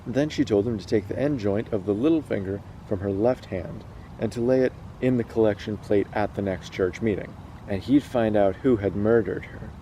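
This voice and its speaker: A man's voice: on the low side, normal speed, mellow, slight background noise, rough recording. A man hosting a casual read-aloud, moving between storytelling and chat. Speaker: host reading stories aloud.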